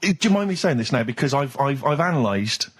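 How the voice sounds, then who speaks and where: Fast, husky British radio man. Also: medium pitch, animated. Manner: mock-earnest, deliberate build-up with teasing. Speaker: radio show host. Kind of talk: comic radio banter.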